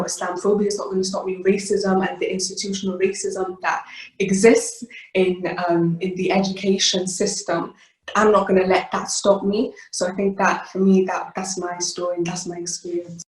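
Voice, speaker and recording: A woman's voice: medium pitch, reverberant, low-quality recording. An eighteen-year-old woman, giving an earnest personal talk with many fillers and restarts. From Wales. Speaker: youth ambassador. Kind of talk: personal talk to an online event.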